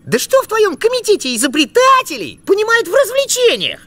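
A woman's voice: extremely high pitch, wildly swooping pitch, fairly quick, fairly bright tone, exaggerated voice. A woman voicing a cheeky, spirited young cartoon animal in a brash, exclamatory way. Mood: indignant. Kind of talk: animated cartoon character acting.

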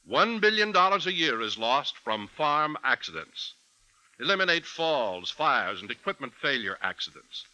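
Male announcer, 1950s public service style, sharp forceful tone, lively intonation, formal, emphatic. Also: mid-range voice, normal speed, dry recording, poor audio. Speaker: radio announcer.

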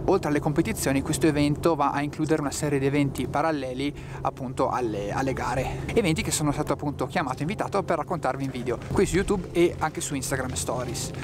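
Young male travel vlogger, slightly high voice, chatty and upbeat, handheld camera, noisy background. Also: fast talker, hardly any pauses. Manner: chatty, upbeat, addresses viewers directly. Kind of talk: travel vlog, talking to camera. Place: mountain outdoors and hotel room. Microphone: handheld camera at arm's length.

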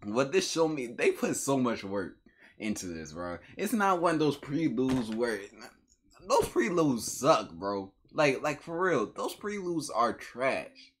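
A man's voice: mid-range voice, sing-song, measured pace, husky. A young American man casually reacting in slangy, repetitive commentary, chuckling as he goes. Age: young adult. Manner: slangy, repeats himself, chuckling. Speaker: reaction video host. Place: small room at home, indoors.

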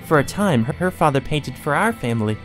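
A man's voice: a little high, expressive, fairly bright tone, soft background music, voice pushed up. A man voicing a deranged, lovesick teenage sister from a visual novel game, with theatrical, mocking, coaxing delivery. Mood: sweetly taunting. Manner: taunting, theatrical, drawn-out coaxing.

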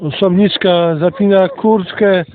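High-voiced slow man, phone-like sound. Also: fairly even intonation. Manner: halting, pausing to think, commenting on surroundings. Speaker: channel host. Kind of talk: casual narrated walk for an online channel. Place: outdoors, walking through countryside. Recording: muffled phone-like narrow-band sound.

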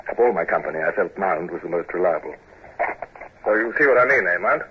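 An actor playing a serious, brooding British naval commodore, earnest and formal, in a 1950s radio drama. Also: male voice, low-pitched, animated, fast talker. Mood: reflective narrating, then confiding, wary. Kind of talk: 1950s radio drama acting and first-person narration. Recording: noisy, dull old radio recording.

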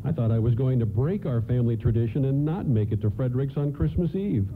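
An earnest, polite family man, played by a male actor in a radio drama, frazzled and grateful. His medium-pitched voice rises and falls a lot, through narrow, noisy radio sound. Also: animated, fast talker, natural voice. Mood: relieved, emotional. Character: frazzled, grateful suburban father and husband. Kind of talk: radio play acting.

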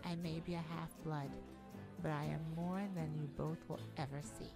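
A woman's voice: sits low, steady tone, vocal fry, music in the background. A woman playing a weary, proud and guarded young half-blood princess in a scripted roleplay audio drama, speaking in formal, wistful phrases. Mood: proud, defensive. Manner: formal, measured, wistful storybook speech.

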